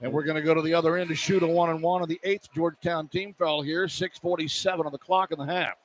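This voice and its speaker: A man's voice: a little high, steady tone, quick pace. A man calling live basketball play-by-play on the radio from an indoor arena. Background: clear arena noise.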